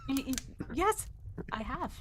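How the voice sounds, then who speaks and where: A woman's voice: high voice, very animated, measured pace. A woman playing a frightened young client in a live 1940s-style radio mystery, speaking in a hesitant, melodramatic way. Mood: evasive, distressed. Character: Miss Stoner, frightened young woman client seeking help. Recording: faint background noise, live performance.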